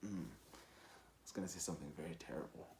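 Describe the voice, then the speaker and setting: A young man from Rwanda telling a personal story casually, in an animated, slangy and profane way. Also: a bass voice, fairly quick. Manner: animated, slangy, profane, with acted-out quotes and sound effects. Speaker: storytelling vlogger. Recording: echoey, roomy sound.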